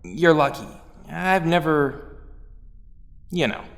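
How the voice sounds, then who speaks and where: Guy playing eager young superhero recruit, higher voice, friendly n curious, big hall reverb, audio drama. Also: expressive, takes it slowly, creaky, soft background music, exaggerated voice. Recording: long echoing hall reverb.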